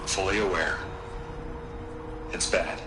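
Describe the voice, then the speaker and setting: A man's voice: deep voice, steady tone, takes it slowly, very noisy. A man playing an evasive, defensive tech tycoon in a video game, speaking in a hesitant, clipped, placating way. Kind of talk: dramatic game dialogue.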